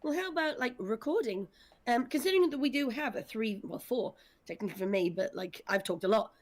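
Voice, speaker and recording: Talkative, higher-pitched woman hosting. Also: lively intonation, fairly quick. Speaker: host of an audio drama discussion panel. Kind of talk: casual livestream chat.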